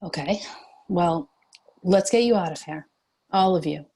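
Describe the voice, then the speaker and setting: A determined young heroine with a husky edge, played by a woman. She is bossy and defiant yet compassionate, as read in a dramatic script workshop. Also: lowish voice, measured pace, warm tone. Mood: concerned, brisk. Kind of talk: screenplay table read.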